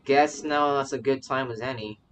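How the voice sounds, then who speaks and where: Rambling young streamer, mid-pitched voice. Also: steady tone, moderate pace, heavy background noise. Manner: rambling, repeats phrases. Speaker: streamer, virtual YouTuber. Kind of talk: casual livestream gaming commentary. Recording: voice over game music. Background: soft game music.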